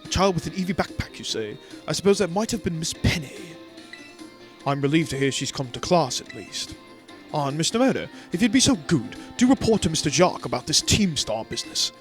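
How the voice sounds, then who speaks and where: A man's voice: fairly high pitch, sing-song, brisk, soft background music. Stiff polite school director guy from a game, read out by a dude. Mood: formal. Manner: stiff formal read-aloud of game text.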